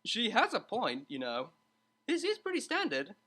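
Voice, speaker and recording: An upbeat stallion tutor acted by a woman in a storybook reading. He is breezy and coaxing, with a lively, swooping pitch and bright tone. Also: normal speed, breathy edge, full character voice. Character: cheerful, meddling male pony psychology tutor, unflappable.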